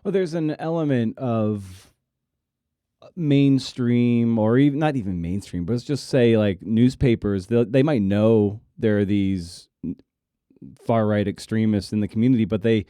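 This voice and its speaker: A man's voice: on the low side, measured pace, warm tone, smooth, no room sound, studio quality. A man hosting a podcast in a casual, wry style, often posing rhetorical questions. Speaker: podcast host. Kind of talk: casual podcast monologue and interviewing.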